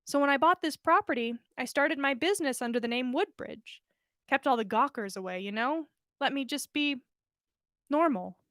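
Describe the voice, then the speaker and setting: A woman's voice: high voice, normal speed, a bit bright, dry recording, studio quality, pitched above the performer's own voice. A woman voicing an awkward, sincere young man with a hesitant, earnest way of talking in a story reading. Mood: flustered, earnest. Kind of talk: fan fiction story reading.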